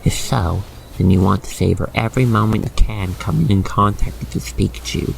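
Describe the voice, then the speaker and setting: A man guiding the listener through an ASMR roleplay in expressive, theatrical, wordy storytelling narration. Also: on the low side, brisk, gravelly, a little hiss or hum, low-quality recording.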